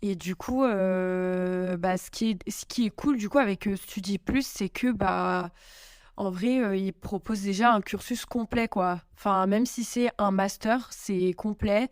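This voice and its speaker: A woman's voice: medium pitch, unhurried, no room sound. A young woman guest answering interview questions in casual French, hesitant, with many fillers and restarts. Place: home in Italy.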